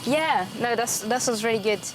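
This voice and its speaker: Halting woman trainee pilot. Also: a little high, over a noisy crowd. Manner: halting, searching for words. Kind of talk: interview.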